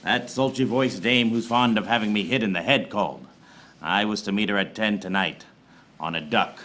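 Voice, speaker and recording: An actor playing a wry, hard-boiled private eye who narrates a parody noir radio serial in deadpan, recorded live on stage. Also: male voice, on the low side, normal speed, a little hiss or hum, close to an everyday voice. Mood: wry narrating. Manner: deadpan pun-laden monologue. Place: small theater stage before live audience.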